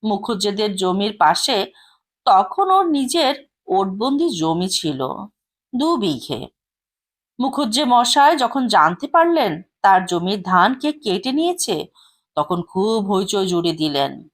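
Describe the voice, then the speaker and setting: A woman reading a short story aloud in an expressive, unhurried storytelling style. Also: medium pitch. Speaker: story reader. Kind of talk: storytelling narration.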